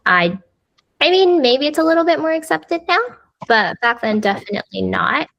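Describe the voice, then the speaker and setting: A woman's voice: high-pitched, very animated, moderate pace, creaky, fairly bright tone, dull audio. A woman, a podcast guest talking casually with lots of fillers. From United States. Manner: chatty, lots of fillers like "like" and "you know". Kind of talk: casual conversational interview.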